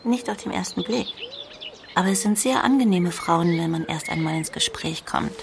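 A woman playing a gentle, trusting young sister in a German radio drama, speaking softly and earnestly. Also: lowish voice, normal speed. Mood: tender, admiring. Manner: soft, earnest, partly breathy. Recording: clear outdoor noise with birdsong.

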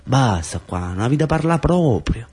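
Man acting a gruff southern Italian grandfather. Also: mid-range voice, sing-song, moderate pace, darkish tone, pitched above the performer's own voice. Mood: stern. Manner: curt, scolding dialect commands. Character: gruff, bossy old fisherman grandfather.

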